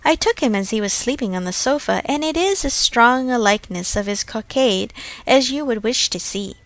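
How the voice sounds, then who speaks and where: A self-assured young society lady from a classic novel, as a woman narrator reads her. She chats in a genteel, self-satisfied way. The voice is fairly high and recorded dry. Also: expressive, normal speed, dry recording. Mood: proud, chatty.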